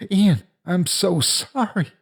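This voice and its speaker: A man's voice: mid-range voice, sing-song, normal speed, darkish tone, breathy edge. A man voicing a frightened, tearful ten-year-old boy who pleads and bargains in a story. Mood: panicked. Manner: anguished, pleading.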